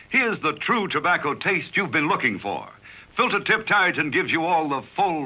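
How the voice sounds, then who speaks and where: Sharp, forceful male commercial announcer. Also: a little high, low-quality recording. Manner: crisp, emphatic salesmanship. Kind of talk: 1950s scripted radio commercial.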